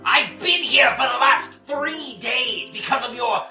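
A man's voice: very high voice, a little slow, sharp tone, roomy sound, far from the mic, soft background music, pitched above the performer's own voice, full character voice. A man voicing an exasperated male apprentice chained to a desk, bellowing theatrically. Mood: indignant.

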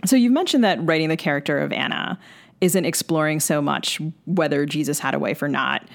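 A woman's voice: low-pitched, fairly quick, creaky. A woman co-hosting a literary podcast, delivering prepared questions and announcements. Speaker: podcast co-host.

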